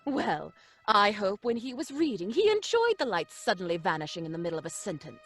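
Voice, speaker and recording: A woman's voice: mid-range voice, wildly swooping pitch, a little fast, fairly bright tone, faint music behind. A woman playing an exasperated, bossy young English daughter in an old-fashioned radio drama, with an English accent. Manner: bossy, sarcastic, impatient. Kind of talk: 1930s-style radio drama acting.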